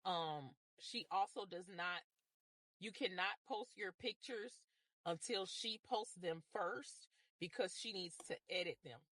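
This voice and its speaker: A woman from the United States recounting a complaint as a measured personal story. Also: on the low side, a bit bright, no room sound. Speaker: customer telling a salon story.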